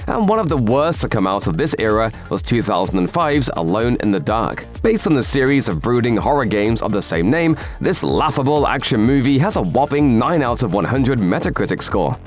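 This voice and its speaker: A man delivers scripted video essay narration with a sardonic, emphatic edge. His pitch moves around in a lively way as he stresses key words. Music plays quietly underneath. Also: brisk, few pauses. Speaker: voiceover narrator. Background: background music.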